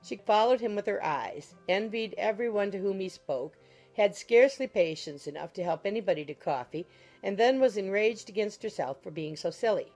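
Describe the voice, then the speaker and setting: A woman's voice: mid-range voice, animated, normal speed, darkish tone, soft background music. A woman reading scripted literary narration for a dramatized audiobook.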